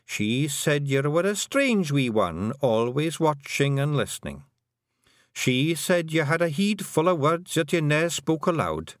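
A man putting on a tiny old fairy matriarch for a storybook reading, pitched high above his own voice. She is bossy and shrewd, with a broad lilting rural brogue. The sound is close and dry. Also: big pitch swings, a little slow, dry recording. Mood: commanding. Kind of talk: audiobook character voice.

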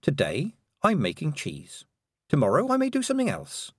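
A man voicing a calm young witch girl who speaks with quiet, steady menace. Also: a little high, moderate pace, no room sound, clean studio sound, voice pushed up, heavily acted.

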